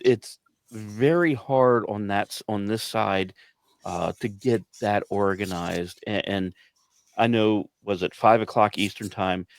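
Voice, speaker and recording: A man's voice: fairly low pitch, a little mellow, no echo. A man who directs radio plays, chatting casually in a group and often stammering and repeating words. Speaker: producer and director of a radio play.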